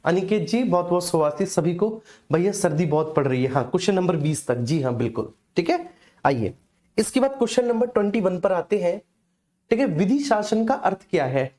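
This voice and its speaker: A man's voice: high-pitched, animated, brisk, a little mellow. A man teaching an informal live online exam class, instructing repetitively and often checking that students follow.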